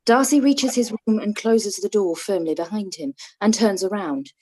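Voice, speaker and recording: Female narrator for a radio-play reading; mellow, slightly husky. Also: mid-range voice, normal speed, low-quality recording.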